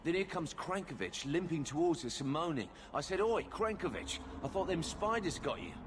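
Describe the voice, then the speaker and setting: A man playing a gruff, wryly humorous working-class mine foreman in a video game, blunt and colloquial. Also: on the high side, big pitch swings, moderate pace, a little hiss or hum. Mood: wry, rattled. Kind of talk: video game dialogue acting.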